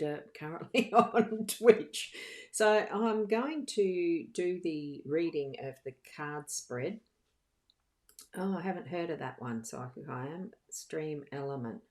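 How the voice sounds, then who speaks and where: Female live-stream card reader, exceptionally low voice, casual, giggly and a bit scattered. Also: a little mellow. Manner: chatty, giggly, scattered, thinking aloud.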